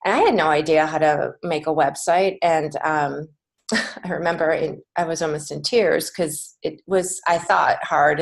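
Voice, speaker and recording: American woman, slightly low voice, podcast guest, casual interview answers, encouraging. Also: vocal fry. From United States. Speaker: podcast guest, children's book author and creative writing teacher.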